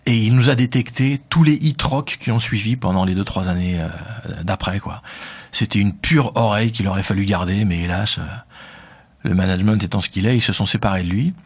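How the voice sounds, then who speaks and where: A man with a Parisian accent, a radio host interviewed about his career, talking quickly with many fillers. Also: on the low side, breathy edge. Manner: fast, hesitant with many fillers. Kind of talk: conversational interview.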